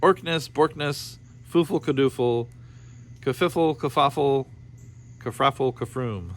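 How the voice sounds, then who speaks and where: A man voicing an excitable, old-fashioned alchemist father in a storybook. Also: mid-range voice, unhurried, slight background noise, natural voice. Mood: exultant.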